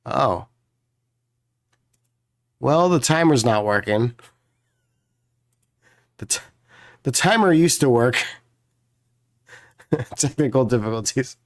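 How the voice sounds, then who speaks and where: A man with a hoarse, strained voice talks through a puzzle video as its host. He explains casually, rambling with lots of fillers and restarts, and keeps apologizing. His pitch sits at an ordinary middle height for a man. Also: expressive, slow pace, pauses often.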